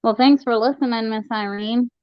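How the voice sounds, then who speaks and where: A sniffly woman hosts a relaxed chat over a video call, laughing often and dropping casual slang. Her voice comes through dry and a little muffled, like low-fi call audio. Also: moderate pace, clear, resonant tone, no room sound. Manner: laughs often, says "y'all" and "hella". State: sniffly, getting over an illness. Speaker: stream host.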